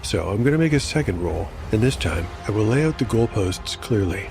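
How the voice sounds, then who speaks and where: A man's voice: on the low side, lively intonation, brisk, creaky. A man narrating his solo tabletop game, rolling dice aloud and musing on the results. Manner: rolls dice aloud, reflective asides. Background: music.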